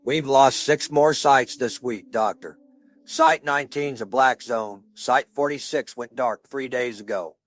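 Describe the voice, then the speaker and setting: A resolute male colonel acted by a man speaks with blunt authority in an audio drama. His voice sits at an ordinary male pitch, and his phrasing is clipped and military. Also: unhurried, no echo, lightly acted. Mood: firm, grim. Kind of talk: audio drama radio dialogue.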